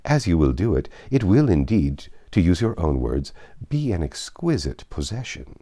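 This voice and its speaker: A man doing a gushing, flattering gentleman suitor. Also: low-pitched, moderate pace, slightly rough, heavily acted. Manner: effusive, gushing, fawning. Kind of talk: audiobook reading of a classic novel.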